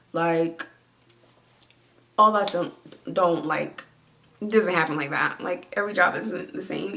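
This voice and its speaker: A young woman with a stuffy, congested voice and a slightly low pitch talks casually from her home. She rambles with lots of fillers while responding to viewer comments. The recording sounds close, like a phone or camera near her. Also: a little hiss or hum. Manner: rambling, chatty, with many fillers. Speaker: vlogger. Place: at home, lying down.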